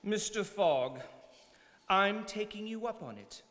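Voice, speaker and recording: A stern gentleman card player, played by a man in a radio play. He speaks slowly and emphatically in a sharp, swooping voice, combative and challenging, with hall-like reverb. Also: sits high, expressive, full character voice. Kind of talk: stage radio drama acting.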